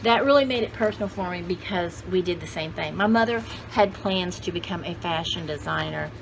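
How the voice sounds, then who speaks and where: A woman's voice: lowish voice, fairly quick. A woman in her sixties chatting casually to camera, rambling and thinking aloud as she shows things to viewers. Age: 60s. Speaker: vlogger showing vintage paper dolls. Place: indoor room at home with cluttered shelves. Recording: clearly noisy home video sound.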